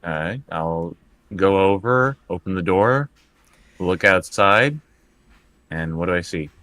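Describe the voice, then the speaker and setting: A man with a low-pitched voice takes part in a tabletop role-playing game as a player. He speaks casually, improvising as he goes, and the recording is close and dry. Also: lively intonation, takes it slowly, sharp tone, dry recording. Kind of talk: casual in-character improvised play.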